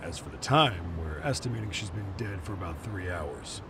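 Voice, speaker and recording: An actor playing a gruff, jaded, foul-mouthed middle-aged police detective in an English game dub. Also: male voice, deep voice, lively intonation, moderate pace, background noise. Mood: businesslike.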